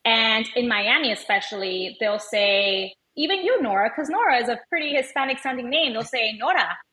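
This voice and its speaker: A woman with a bright, forceful voice pitched a little high. She is a native Spanish speaker raised in the United States, speaking as an interview guest. She explains through personal stories and voices short exchanges as she goes. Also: lively intonation, normal speed, rough recording. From born in Venezuela, raised in the United States. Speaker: podcast guest, language educator and advocate. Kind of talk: conversational interview storytelling.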